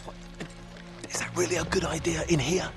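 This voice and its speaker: A British actor playing a smug, sardonic thief with a dry, teasing, self-assured delivery in a video game cutscene. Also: male voice, fairly high pitch, flat delivery, a little fast, vocal fry. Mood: impatient. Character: smug, sardonic male thief with a sly streak. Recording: cinematic music behind the voice.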